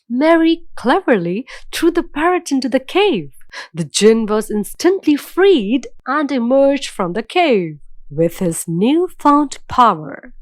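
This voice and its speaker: Slow, expressive woman storyteller. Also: on the high side, dry recording. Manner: measured, dramatic storytelling. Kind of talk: scripted children's fairy tale narration.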